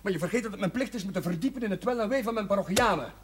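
A man's voice: high-pitched, very fast, slightly rough. An actor playing an earnest, touchy chaplain, hesitant and defensive with his sister in naturalistic television drama dialogue. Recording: faintly noisy 1970s television soundtrack.

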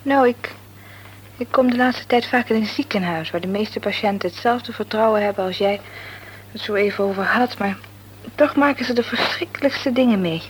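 An actress playing a thoughtful young student full of doubt in a Dutch radio play, speaking hesitantly. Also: female voice, medium pitch, moderate pace, close to an everyday voice. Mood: pensive, troubled. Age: young adult. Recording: noisy old radio play recording.

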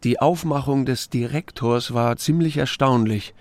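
Male, playing a laconic small-town sheriff narrating a radio drama: mid-pitched, wry, understated, studio-dry. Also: a little slow, dry recording, lightly acted. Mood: wry narration. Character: unflappable, laconic small-town sheriff telling his story. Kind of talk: first-person narration and dialogue in audio drama.

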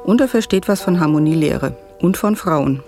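An actor narrating a radio play in a wry, dryly teasing way. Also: male voice, fairly high pitch, animated, normal speed. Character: wry male narrator introducing a band. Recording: music under the voice. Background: guitar music.

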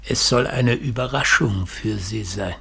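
An actor in a German radio play as a mysterious messenger, speaking slowly, softly and insinuatingly in a husky, breathy voice. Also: male voice, on the low side, heavily acted. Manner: slow, soft, insinuating. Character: mysterious, quietly menacing man delivering a parcel.

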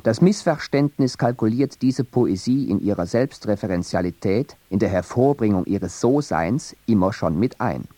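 Low-voiced reflective male narrator. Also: expressive, dark tone, no echo. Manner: measured, reflective, earnest. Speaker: narrator of a radio feature. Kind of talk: scripted essayistic narration.

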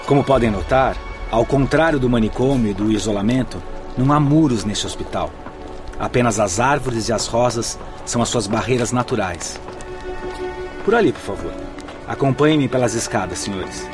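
A man's voice: mid-range voice, moderate pace, over music, natural voice. An actor playing a courteous, orderly male guide in a radio drama, speaking formal, authoritative Portuguese with a Brazilian accent. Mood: courteous, directing. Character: courteous, orderly male instructor guiding spirit newcomers.

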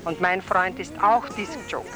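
A woman's voice: fairly low pitch, moderate pace, music in the background, lightly acted. A woman playing an eager, chatty small-town caller to a night radio show in a radio drama, with an Austrian accent and a hesitant, confiding manner. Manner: hesitant, groping for words, confiding.

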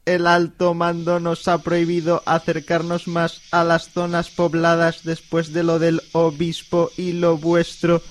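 A man's voice: on the high side, monotone, measured pace, hardly any pauses, slight background noise, lightly acted. A man playing a polite, emotionless Martian alien in a comic radio serial, speaking in a stilted, formal, literal way. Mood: flat, detached.

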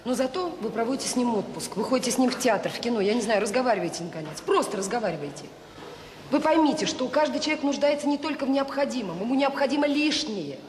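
An actress playing a warm-hearted, stubborn middle-aged director of a children's home, earnest and insistent, in a naturalistic radio drama. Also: female voice, a little high, expressive, fast talker, close to an everyday voice. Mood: passionate, pleading. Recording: echoey reverberant radio play, faint noise.

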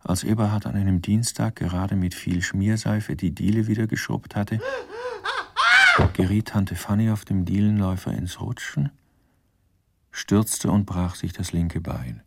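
An actor playing a dry, wry narrator in a radio crime play, telling it in a measured, deadpan way. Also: male voice, deep voice, vocal fry, husky, no room sound, exaggerated voice.

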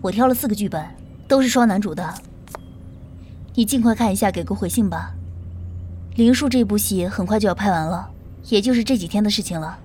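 A woman playing a brisk, matter-of-fact female talent agent in an audio drama. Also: mid-range voice, a little mellow, a little hiss or hum, lightly acted. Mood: brisk, businesslike.

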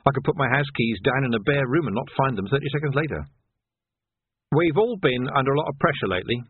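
A man playing a husky, tactful police sergeant. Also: medium pitch, lively intonation, dry recording, pitched above the performer's own voice. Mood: wry, regretful. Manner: measured, politely coaxing. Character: tactful, shrewd male police sergeant, outwardly deferential. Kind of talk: audiobook character voice. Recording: narrow, phone-like band.

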